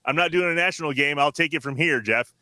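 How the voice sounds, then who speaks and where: A man's voice: a little high, quick pace, sharp tone, dry recording. A man hosting a podcast in a casual, informal and breezy way. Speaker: podcast host.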